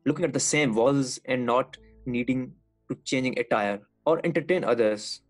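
A man from Lahore, a visual artist, reading a measured statement about his artwork. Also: mid-range voice, soft background music. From Lahore, Pakistan. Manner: measured, read aloud.

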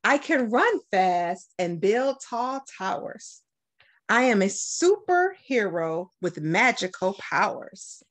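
A middle-aged American woman from Detroit, a school principal, doing an expressive storytime read-aloud with deliberate phrasing. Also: medium pitch. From Detroit, United States. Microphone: webcam at about arm's length.

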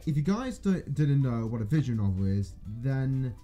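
A man's voice: mid-range voice, sing-song, moderate pace, music in the background. A young man hosting a casual, partly scripted video discussion. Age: young adult. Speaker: host.

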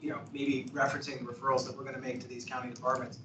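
A man on the commission, speaking in a measured way during a meeting in a conference room. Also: mid-range voice, flat delivery, recorded from a distance. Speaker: commission member. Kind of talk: meeting discussion. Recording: noisy echoey room audio from afar.

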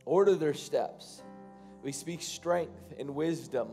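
A man in his thirties, a pastor, preaching and then praying over his congregation from a church stage, with loose, halting phrasing that rises to fervent prayer. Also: fairly high pitch, dark tone, faint music behind. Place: large church stage, indoors.

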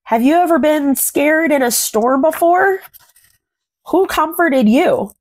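A woman in her thirties hosts a children's story reading. Her voice sits a little high and she takes her time, very slowly walking young listeners through the book. She phrases things simply and deliberately, pausing to comment and ask questions. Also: expressive, darkish tone. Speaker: children's read-aloud host. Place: small furnished room at home.

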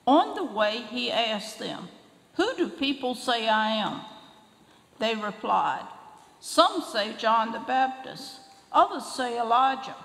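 An older woman reading scripture aloud at a church lectern, slow and careful. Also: fairly high pitch, fairly bright tone. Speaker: scripture reader. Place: large church sanctuary, at a lectern. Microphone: lectern microphone. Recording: long reverb of a large church hall.

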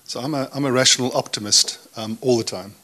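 Fast-talking, opinionated man on a panel. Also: mid-range voice, sharp tone. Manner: opinionated, emphatic. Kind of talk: unscripted panel discussion.

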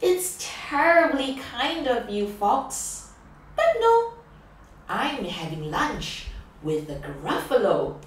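Woman reading as a cheeky little mouse, lively and high. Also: slow, slight background noise. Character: small clever mouse, bright and cheeky. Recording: echoey room, distant mic, faint ambience.

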